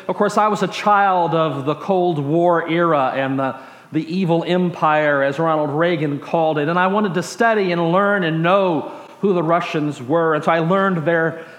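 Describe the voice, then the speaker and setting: An American man from Texas preaching through personal stories in a reflective, halting way with dry humorous asides. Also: fairly high pitch. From Texas, USA. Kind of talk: sermon storytelling. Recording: echoey room.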